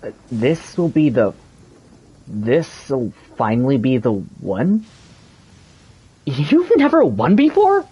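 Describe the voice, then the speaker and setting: An actor voicing a young, calm, skeptical traveler in a video game, measured and doubting. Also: medium pitch, breathy edge, full character voice. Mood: doubtful.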